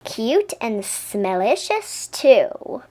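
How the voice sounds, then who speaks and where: A woman voicing an eager, sweet young male library cat in a storybook, earnest and excitable. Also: on the high side, drawn-out words, exaggerated voice. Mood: delighted. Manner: earnest, exclamatory. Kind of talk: storybook character voice.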